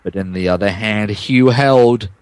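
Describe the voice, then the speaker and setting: A man's voice: on the low side, a little slow, a little hiss or hum. A man narrating a mock-dramatic podcast reading of wrestling fan fiction, stressing garbled typos as written.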